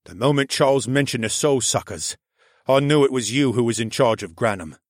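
A man voicing a firm, steady male guard in a level tone. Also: mid-range voice, a little fast, dry recording, clean studio sound, close to an everyday voice.

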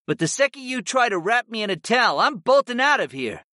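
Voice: medium pitch, sing-song, fairly quick, bright, forceful tone, no room sound, studio quality, pitched above the performer's own voice. A narrator voicing a male saber-toothed cat monster familiar, whiny and cheeky, drawling and pouting in an audiobook. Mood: grudging.

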